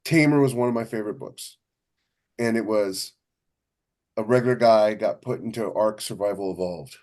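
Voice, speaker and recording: A man's voice: on the low side, moderate pace, darkish tone. A man co-hosting a podcast in casual conversation, talking enthusiastically and emphatically and often repeating himself. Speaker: podcast co-host and science fiction author. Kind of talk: casual chat.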